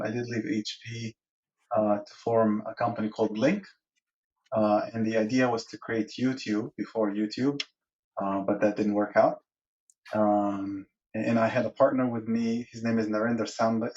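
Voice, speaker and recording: A man's voice: on the low side, a little mellow. Rambling man from Egypt, dull lo-fi sound. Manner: rambling, many restarts and fillers. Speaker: guest. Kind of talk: conversational podcast interview.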